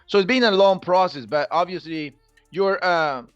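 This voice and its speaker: A man's voice: sits high, normal speed, smooth, no echo, highs cut off, faint music behind. A middle-aged man from Costa Rica, a native Spanish speaker with a Costa Rican accent, telling his own story casually as an interview guest. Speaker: podcast guest, Spanish teacher.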